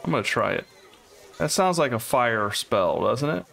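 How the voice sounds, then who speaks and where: A man's voice: mid-range voice, animated, normal speed, gravelly, fairly bright tone. A man co-hosting a let's play, chatting casually and reading item stats aloud as he plays. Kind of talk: casual gaming chat. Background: faint game music.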